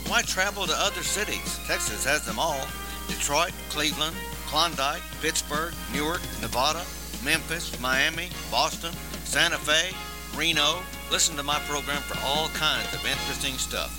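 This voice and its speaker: A man's voice: fairly high pitch, moderate pace, music in the background. A man hosting a radio program, reading a scripted promo.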